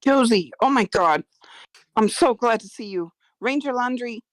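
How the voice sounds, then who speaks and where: Alarmed woman, swooping high voice, acted by a woman. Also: lively intonation, measured pace, dry recording, poor audio. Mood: startled, relieved. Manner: urgent, emphatic, alarmed. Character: frightened, pleading young woman confronting her friend. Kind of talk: screenplay table read acting.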